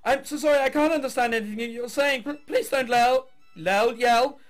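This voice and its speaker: A man's voice: very high voice, moderate pace, faint music behind, voice pushed up. A man voicing frightened orchestra musicians from a visual novel, stammering and frantic. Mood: timid, frightened. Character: panicked, timid orchestra musicians under threat.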